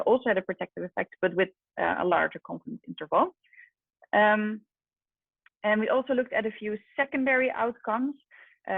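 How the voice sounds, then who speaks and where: A woman researcher with a creaky voice, presenting study results in a measured, explanatory lecture over a thin, phone-like connection. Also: mid-range voice, no echo. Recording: phone-like, thin online call audio.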